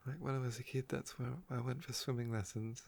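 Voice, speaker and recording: A man speaks softly at a middling pitch, with heavy creak and a croaky edge. He rambles casually in an ASMR style, unhurried and a little wistful as he tells personal stories. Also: level tone. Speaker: ASMR video creator.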